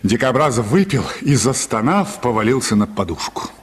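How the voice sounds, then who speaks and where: A man's voice: medium pitch, sing-song, moderate pace, breathy edge. A man narrating a literary radio play as a dramatic storyteller, with long reverb around his voice.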